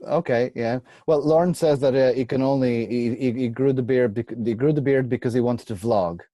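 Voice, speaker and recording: A man from Portugal hosting a casual interview, chatty and quick to laugh, often repeating his words. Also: medium pitch, mellow, dry recording. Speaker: podcast host.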